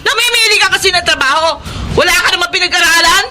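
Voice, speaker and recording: A very high-voiced, spiteful Filipino woman in a radio drama. She bullies and scolds, combative in every phrase, quick to shriek when provoked. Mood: furious, shouting. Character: spiteful, bullying sister-in-law, hot-tempered married woman.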